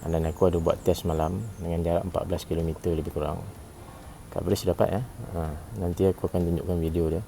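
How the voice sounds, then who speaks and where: A Malaysian man with a low, creaky voice and a dark, relaxed tone. He reviews a gadget informally, repeating phrases and adding little tags as he goes. There is faint background noise on the recording. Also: fast. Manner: informal, repeats himself, frequent "eh" tags and throat clearing. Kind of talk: casual product review vlog.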